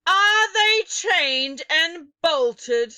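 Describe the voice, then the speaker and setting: A woman voicing a menacing witch leader from a storybook, shouting drawn-out commands in a harsh, imperious way. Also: extremely high pitch, animated, no echo, voice pushed up, exaggerated voice. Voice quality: harsh, metallic, rasping snarl. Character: Grand High Witch, commanding, snarling, menacing villainess.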